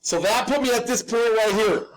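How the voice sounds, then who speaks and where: High-voiced, slightly raspy male drafting teacher. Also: normal speed, rough recording. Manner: instructional, checks in often with "okay?"; informal, folksy, self-deprecating. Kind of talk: live step-by-step hand drawing demonstration.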